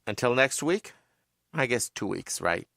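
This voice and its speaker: A man's voice: mid-range voice, animated, measured pace, gravelly, pushed and bright, no echo, studio quality. A man co-hosting a fan podcast, chatting casually and explaining things carefully. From Mexican-American family. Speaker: podcast co-host. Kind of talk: casual chat.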